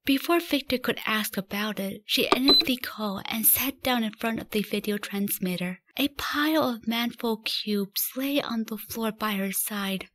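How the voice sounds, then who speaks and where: High-voiced woman narrating a story. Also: a bit bright, slight background noise, poor audio.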